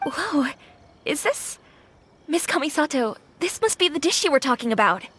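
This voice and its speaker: Eager bubbly restaurant owner. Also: female voice, high voice, very animated, normal speed, a bit bright, slight background noise. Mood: excited. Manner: enthusiastic and chatty. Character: young female restaurant owner, upbeat and eager. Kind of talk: game cutscene voice acting. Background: game music.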